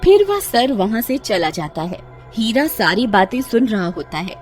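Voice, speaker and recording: A woman's voice: mid-range voice, big pitch swings, measured pace, faint music behind. A woman narrating a scripted horror cartoon story in a dramatic storytelling style.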